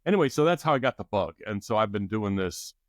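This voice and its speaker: Middle-aged male guest, measured explainer, dry close recording. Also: fairly low pitch, lively intonation, no room sound. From United States. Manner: thoughtful, explanatory with restarts. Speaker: guest expert. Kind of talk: podcast interview.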